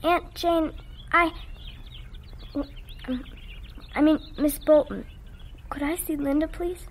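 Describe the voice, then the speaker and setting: A woman playing an innocent, excitable and devout farm girl in a radio drama. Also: high voice, measured pace, a little hiss or hum. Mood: pleading.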